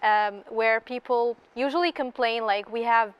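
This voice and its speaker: Algerian woman as interview guest, highish smooth voice, thoughtful answers. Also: measured pace, lo-fi sound. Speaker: panel guest. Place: TV studio.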